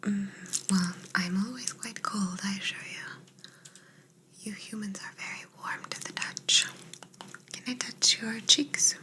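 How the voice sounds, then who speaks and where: A woman whispering softly and breathily in an ASMR roleplay as a polite, curious, clinical alien examining a human. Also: medium pitch. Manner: slow, polite, clinical, murmuring short reassurances.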